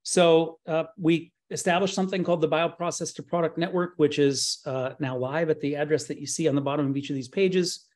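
A middle-aged man giving an online slide presentation in halting, pause-filled phrases. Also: fairly high pitch, steady tone, a little mellow. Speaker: conference presenter, nonprofit co-founder. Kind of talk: slide presentation at a virtual expo. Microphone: webcam on a video call.

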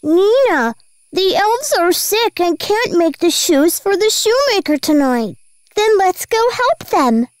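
A tiny, very high child's voice for an animated adventure. The delivery is sweet, earnest and caring. It takes its time, with lively swings of pitch. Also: female voice, slow pace, no echo, clean studio sound, full character voice. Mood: earnest, concerned. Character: gentle, caring young child in a magical cartoon adventure. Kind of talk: animated children's story acting.

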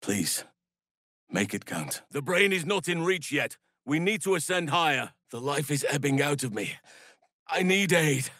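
An actor playing a solemn, gentle-hearted druid healer and warrior in a video game, speaking formally and with measured earnestness. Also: male voice, on the high side, big pitch swings, no room sound, clean studio sound, exaggerated voice. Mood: urgent, pleading.

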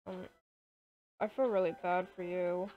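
A woman chatting casually over a game roleplay in a hesitant, halting way. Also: fairly low pitch, level tone, phone-quality sound. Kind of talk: casual gameplay roleplay chat.

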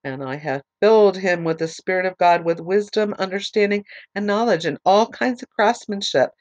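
A woman teaching a Bible study whose voice is fading from allergies. She reads scripture aloud slowly, adds short explanations, and spells out names letter by letter. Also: mid-range voice, a little mellow. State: losing her voice from allergies.

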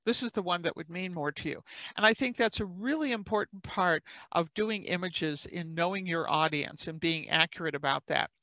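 Chicago lady teaching an online workshop, bright high voice, dry close sound, chatty. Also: normal speed, no echo, low-quality recording. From South Side of Chicago. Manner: chatty, animated, acts out quoted speech. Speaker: presenter.

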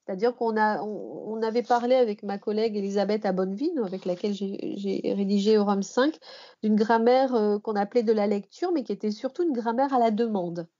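Female podcast expert, mellow and slightly high, explanatory delivery with rephrasing, dull audio. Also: brisk. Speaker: guest expert, language teacher and researcher. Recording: muffled, band-limited podcast audio.

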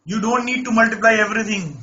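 Man, online math tutor, coaxing, checks in often, voice a bit off, high and very bright, dull lo-fi sound. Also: fast talker. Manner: coaxing, repeats checks like "right?". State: voice not normal, says he lost his voice. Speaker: math teacher. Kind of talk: live online class, problem solving.

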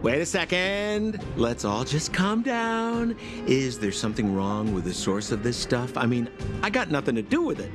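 A man playing a slippery, cowardly young dealer in a video game, smooth-talking and placating. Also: mid-range voice, big pitch swings, moderate pace, over music, natural voice. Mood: nervous, placating. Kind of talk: video game character acting.

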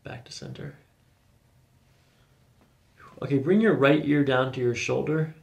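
A middle-aged man working as a chiropractor, calmly guiding a patient in short, reassuring phrases in an ASMR style. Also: mid-range voice, unhurried, creaky. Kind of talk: calm hands-on ASMR treatment talk, instructing a patient. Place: small carpeted treatment office, indoors. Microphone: microphones on boom stands near the table.

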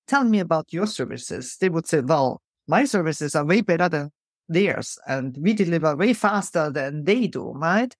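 A woman's voice: medium pitch, dry recording, studio quality. A middle-aged woman with a mixed European accent, telling stories emphatically as an interview guest. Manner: animated, emphatic storyteller. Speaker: guest, keynote speaker. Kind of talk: storytelling interview answers.

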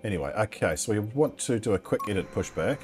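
A man in his thirties with a relaxed New Zealand accent talks through a flight simulator session in a very low voice. He rambles with frequent ums and the odd mild swear word. A faint background hum sits under his headset mic. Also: fast talker. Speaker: flight sim streamer. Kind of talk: casual gameplay commentary.

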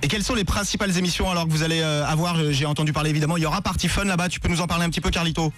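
A man's voice: on the high side, fairly even intonation, racing, fairly bright tone, faint music behind. A man, a morning radio host chatting live in an interview from a studio. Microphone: studio microphone on boom arm, headset monitors.

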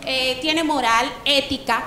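A woman's voice: high-pitched, unhurried, sharp tone, echoey room, a little hiss or hum. A young adult woman, an announcer and TV presenter, introducing herself on a show. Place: indoor restaurant by an aquarium. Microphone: handheld microphone.

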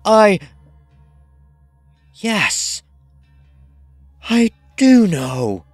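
A man's voice: high-pitched, sing-song, dry recording, soft background music, pitched above the performer's own voice, full character voice. A man voicing a dying, dread-filled man in a horror audio drama, faltering and drawing out his words as if in a trance. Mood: despairing, strained. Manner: slow, faltering, drawn-out.

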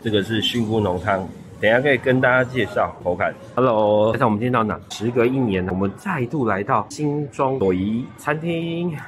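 A man with a Taiwanese Mandarin accent narrates a casual food vlog in a mid-range voice, rattling off buffet dishes with easy, chatty tags. He is recorded on a handheld camera mic, with steady restaurant noise and chatter clearly heard behind him. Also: medium pitch, brisk, few pauses. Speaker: food vlogger. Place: busy hotel buffet restaurant indoors. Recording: noisy restaurant background.

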